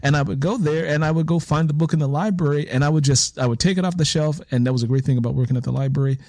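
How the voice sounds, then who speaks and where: A man's voice: on the high side, rapid-fire, darkish tone, no echo, clean studio sound. A man with an American accent hosting a conversational interview and sharing his own anecdotes. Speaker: interview show host and author.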